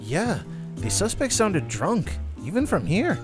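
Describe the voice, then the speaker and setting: A man's voice: high voice, wildly swooping pitch, a little slow, over music, exaggerated voice. A man playing a laid-back bard in a fantasy audio drama. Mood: curious, casual.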